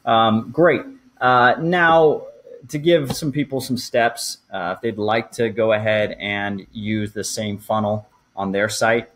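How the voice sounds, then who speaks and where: Low-voiced man hosting a show. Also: slow pace, a bit bright. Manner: explanatory, step-by-step. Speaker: podcast host. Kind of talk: conversational walkthrough for a marketing show.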